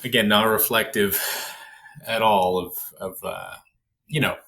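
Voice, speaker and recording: Goofy, rambling Canadian host. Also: male voice, fairly low pitch, measured pace, gravelly, sharp tone, slight background noise. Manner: goofy, rambling, laughs often. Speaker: podcast host. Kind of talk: casual interview.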